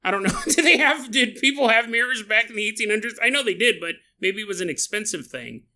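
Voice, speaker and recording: A male podcast host tells an odd story with a playful, joking manner, laughing often. His voice is bright and sharp. His pitch swings up and down, so the telling sounds animated. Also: fast. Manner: jokey, laughs often, acts out side remarks. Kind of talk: comedic paranormal storytelling.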